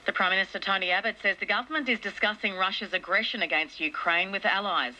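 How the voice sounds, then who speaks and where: A woman's voice: medium pitch, fast, lo-fi sound. An Australian woman reading a scripted news bulletin, heard through a noisy radio.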